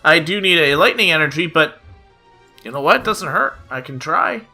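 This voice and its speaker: A man's voice: a little high, lively intonation, normal speed, pushed and bright. A young man giving chatty play-by-play commentary on a card game, slightly nasal from a cold, with lots of "uh" fillers. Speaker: gaming YouTuber. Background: background music.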